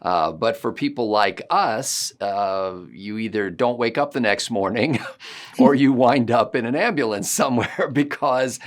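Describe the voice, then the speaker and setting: A middle-aged man on a casual video call, explaining ideas in a reflective, measured way. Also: mid-range voice, animated. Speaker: co-host. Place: home room.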